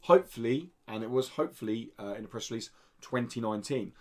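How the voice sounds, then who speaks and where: A man with a mid-pitched voice hosts a casual podcast. He presents news items in a conversational, chatty way. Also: fast, darkish tone.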